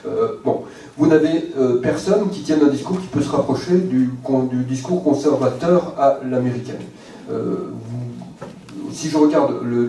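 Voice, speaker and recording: A man giving a measured lecture to an audience, often pausing on hesitant fillers. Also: medium pitch, warm tone, a little hiss or hum. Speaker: guest speaker. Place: lecture hall. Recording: very echoey hall, mic far off.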